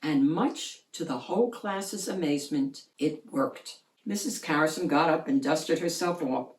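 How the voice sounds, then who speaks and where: A woman reading a children's picture book aloud as an expressive storyteller. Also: low voice, unhurried, reverberant.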